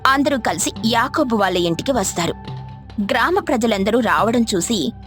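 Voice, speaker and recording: A woman's voice: a little high, animated, normal speed, a bit bright, faint music behind. A woman narrating a scripted moral story as a storyteller.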